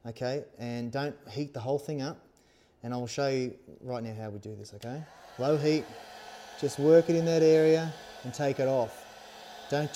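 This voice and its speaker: A man's voice: medium pitch, mellow, slight background noise. A man, talking through a practical demonstration in a casual, reassuring way and often checking in with short questions. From Australia. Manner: informal, reassuring, often checks with "okay?" and "all right?". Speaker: instructor, helmet accessory maker. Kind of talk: casual hands-on tutorial. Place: small workshop studio indoors, seated at workbench.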